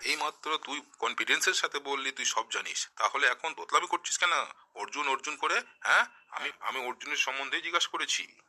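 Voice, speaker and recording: A man's voice: mid-range voice, brisk, bright, forceful tone. A man playing a stern, sarcastic schoolteacher in a comic cartoon, scolding and mocking. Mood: exasperated scolding. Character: stern, sarcastic, exasperated male village schoolteacher.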